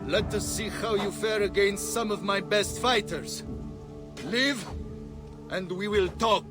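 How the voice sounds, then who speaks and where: An actor playing a haughty, sly and commanding arena boss in a video game. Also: male voice, sits high, slow pace. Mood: sly, challenging. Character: haughty male arena boss who hires fighters. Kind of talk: video game cutscene acting. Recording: faint music behind the voice.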